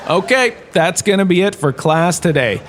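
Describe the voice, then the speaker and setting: A man's voice: high voice, animated, normal speed, a bit bright. A man playing a smug washed-up star who lectures as a history professor, conversational and wry, in a comedic audio drama. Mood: wry, breezy. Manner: conversational, self-satisfied, wry asides.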